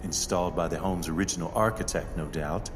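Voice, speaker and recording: An actor playing a haughty, secretive rich heir in an audio drama, speaking in formal, deliberate, pompous phrases. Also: male voice, low voice, in a large hall, background noise, natural voice. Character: haughty wealthy male heir, secretive and imperious.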